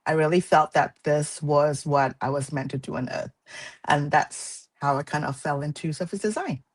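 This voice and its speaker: Woman in her thirties from Malaysia, low voice, unhurried guest answering questions. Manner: soft-spoken, thoughtful, frequent "um" pauses. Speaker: guest artist. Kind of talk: casual interview.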